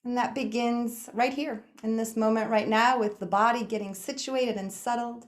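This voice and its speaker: A woman leading a guided meditation in a soothing, unhurried manner, speaking in short phrases with pauses between them. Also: fairly high pitch. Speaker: yoga nidra meditation guide.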